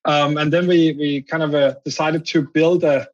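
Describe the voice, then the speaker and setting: A man's voice: fairly high pitch, steady tone, normal speed, dull audio. A man with a Danish accent, a guest on a podcast interview, explaining at length and often restarting his sentences. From Denmark. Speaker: guest, company founder.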